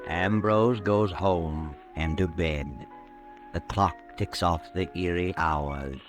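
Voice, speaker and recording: An actor playing an ominous, all-knowing narrator of a 1940s radio suspense show, dramatic and taunting. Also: male voice, low voice, slow, music in the background.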